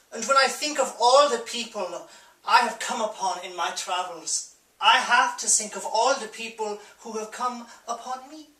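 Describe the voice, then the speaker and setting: A man playing a flamboyant, bitter East German drag rock diva in a grandiose, campy stage monologue with dramatic pauses. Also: high voice, bright, forceful tone, heavily acted. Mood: musing, suggestive. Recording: roomy echo, distant microphone.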